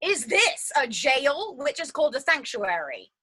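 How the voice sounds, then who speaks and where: Woman acting a restless, bright, high-voiced dreamer. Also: big pitch swings, a little slow, exaggerated voice. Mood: insistent. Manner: insistent, impassioned. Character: restless, yearning woman desperate to escape confinement. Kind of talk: stage play dialogue.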